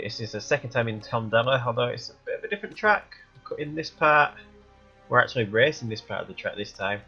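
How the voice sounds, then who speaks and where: A man's voice: medium pitch, sharp tone. A man with a cold, sounding stuffy and sniffly, rambling through casual commentary as he plays a game. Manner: rambling, thinking aloud while playing. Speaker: let's play gamer. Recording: dull lo-fi recording, faint music behind.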